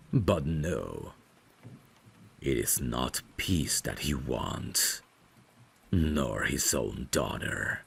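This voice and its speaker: Male actor as courtly vampire butler, extremely low voice, slow measured delivery, formal and caring, ASMR roleplay. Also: vocal fry, a little hiss or hum, heavily acted. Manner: formal, deferential, measured, gently solicitous. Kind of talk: ASMR audio drama roleplay.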